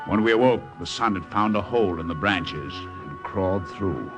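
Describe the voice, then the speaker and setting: A man's voice: sits low, animated, normal speed. An actor playing a sick young American soldier who tells his jungle ordeal wearily in an old radio drama. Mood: weary, grim. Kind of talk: 1950s radio drama acting, first-person narration. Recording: old radio broadcast, music behind.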